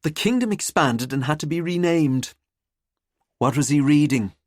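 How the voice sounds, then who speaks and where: A woman's voice: sits low, lively intonation, moderate pace, a little mellow, no echo, studio quality, pitched above the performer's own voice. A woman voicing a cheeky teenage schoolgirl in an audiobook, teasing and mock-dramatic. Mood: gleeful teasing.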